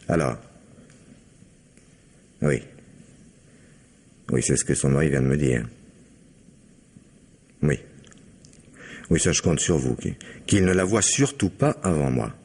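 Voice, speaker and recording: An actor playing a probing, courteous police commissioner in a French radio mystery drama. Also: male voice, rumbling low, a little mellow, husky, full character voice. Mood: courteous, businesslike.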